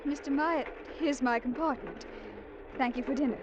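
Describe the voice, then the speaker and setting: A woman's voice: normal speed, highs cut off, natural voice. An actress playing a coy, playful young chorus girl in 1940s radio style. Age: young adult. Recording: noisy vintage radio, train rumble.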